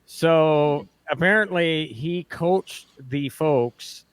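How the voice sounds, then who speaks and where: A man's voice: on the high side, extremely slow, clear, resonant tone, no room sound. Chatty man, dry studio sound. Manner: talkative, restarts often. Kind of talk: casual radio chat.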